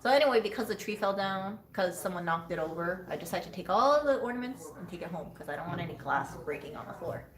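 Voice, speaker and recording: A woman's voice: on the low side, animated, fast, few pauses, reverberant, crowd noise. A woman co-hosting a live stream, chatting casually and teasing her co-host, laughing often.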